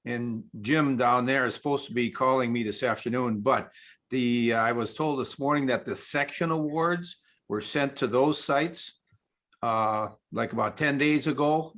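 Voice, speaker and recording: A man giving an informal update in a meeting, matter-of-fact and a little halting. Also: medium pitch, a bit bright.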